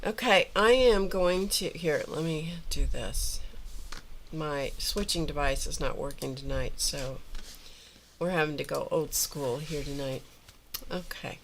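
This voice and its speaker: A woman with a notably low voice talks casually while crafting, thinking aloud as she goes. Her voice sounds a little congested. A faint hiss sits under the recording. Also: unhurried. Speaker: livestream art host. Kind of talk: casual craft livestream chat. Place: home art studio.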